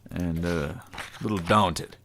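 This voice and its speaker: A man's voice: sits low, takes it slowly, fairly bright tone, a little hiss or hum, full character voice. A man acting in an audio drama as an easygoing, self-deprecating friend with a casual way of talking. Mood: impressed, joking.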